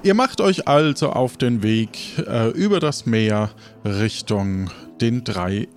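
Male game master over soft music. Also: medium pitch, takes it slowly. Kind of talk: narrating a role-playing game.

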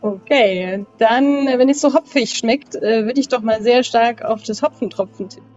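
A woman speaks casually in character as a role-playing game player. Her pitch is average. The recording is a bit dull, with soft music underneath. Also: normal speed.

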